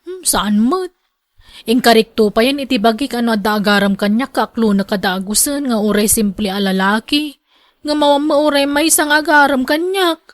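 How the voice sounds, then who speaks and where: A woman's voice: fairly high pitch, moderate pace, no echo, close to an everyday voice. A woman with a Filipino Ilocano accent playing an outspoken young single daughter in a radio drama. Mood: wistful.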